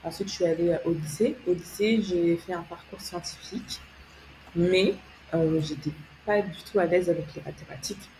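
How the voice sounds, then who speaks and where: A woman's voice: lowish voice, normal speed, mellow, slight background noise, low-quality recording. A young woman from France, a guest telling her story in an interview, hesitant, with many fillers and restarts, laughing easily. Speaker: guest, tech founder and consultant.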